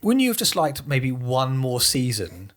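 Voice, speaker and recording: A man with a croaky voice from a cold, co-hosting a podcast in casual conversation. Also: mid-range voice, very animated, a little slow, fairly bright tone. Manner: conversational, asks questions. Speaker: podcast co-host. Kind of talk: casual chat about racing.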